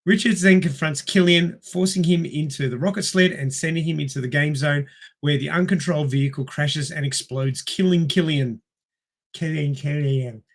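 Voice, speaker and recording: A man's voice: fairly high pitch, normal speed. A man hosting a casual movie discussion and recapping plots, his throat sore from coughing. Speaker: podcast host.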